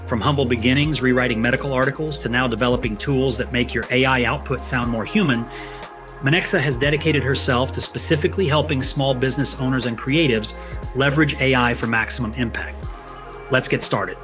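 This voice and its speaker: Guy hosting a podcast, upbeat, mid-pitched voice, faint music behind. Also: a little fast. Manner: upbeat, affirming with short yeahs. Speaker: podcast host. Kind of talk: scripted intro, then casual interview chat.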